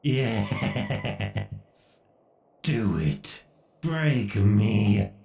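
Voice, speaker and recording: Slow, gravelly, menacing AI villain god, acted by a man. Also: lowish voice, expressive, darkish tone, roomy sound, recorded from a distance, soft background music, exaggerated voice. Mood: taunting. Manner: theatrical, menacing, drawn-out deliberate phrasing with condescending taunts. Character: obsessive, sadistic male AI god villain, gloating and hateful. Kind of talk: horror ASMR roleplay monologue.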